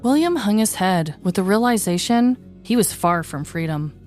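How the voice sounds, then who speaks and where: A woman podcast host telling true stories in an animated, expressive voice that rises and falls freely. Her pitch sits in a middle range, and some music plays faintly behind her. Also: normal speed. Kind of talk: scripted true-story narration and casual chat.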